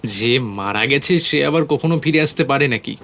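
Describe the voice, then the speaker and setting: A man playing an excitable visitor in an audio horror story, speaking in an emphatic, questioning way. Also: medium pitch, normal speed, a little hiss or hum. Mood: dismissive reassurance.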